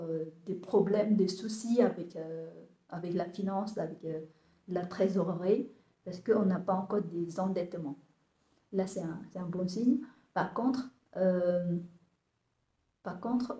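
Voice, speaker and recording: A woman in her thirties from Vietnam, speaking French with a Vietnamese accent, describing her compost business to camera and often pausing on fillers and restarts. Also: lowish voice, mellow, echoey room. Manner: hesitant, many fillers and restarts. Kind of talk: casual talk to camera, halting.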